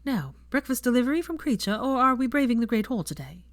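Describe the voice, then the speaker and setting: Casting: bantering young witch for an audio story, lifted pitch, prim and pointed played by a female reader. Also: very animated, quick pace, dry recording. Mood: airy, teasing.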